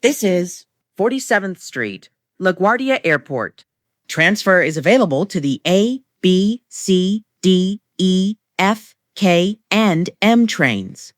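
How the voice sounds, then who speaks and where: Low-pitched woman making train announcements. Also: very animated, no room sound, studio quality. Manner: formulaic, measured delivery of short set phrases. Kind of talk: scripted automated transit announcements.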